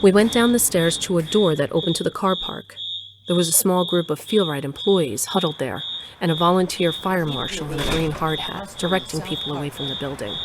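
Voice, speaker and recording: A woman plays a bold female lead in an audio drama. The voice sits a little low, moving between brisk first-person narration and dialogue. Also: very noisy, close to an everyday voice. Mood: determined. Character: brisk, bold heroine narrating her rescue adventure.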